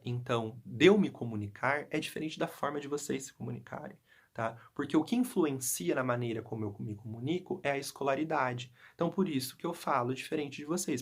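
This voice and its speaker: Young man from Brazil, teacher giving a class explanation, mid-pitched, patient, keeps checking in with the class. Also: husky. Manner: explanatory, frequent tag questions. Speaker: Portuguese teacher. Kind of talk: recorded class lesson.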